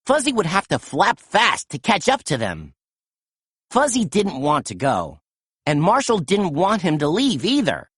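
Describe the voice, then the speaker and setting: A woman reading a children's picture book aloud in a gentle, expressive storytelling manner. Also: on the low side, a little slow, slightly rough, no echo, studio quality. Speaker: storytime reader.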